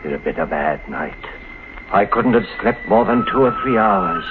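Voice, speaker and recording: Actor as haunted, nervy husband on scratchy old radio. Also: male voice, on the low side, expressive, lightly acted. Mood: haunted, brooding. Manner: haunted, halting, repeating phrases with dramatic pauses. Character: nervous, guilt-ridden husband hiding a murder, narrating his story. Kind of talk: 1950s radio mystery acting. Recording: scratchy, tinny 1950s radio recording.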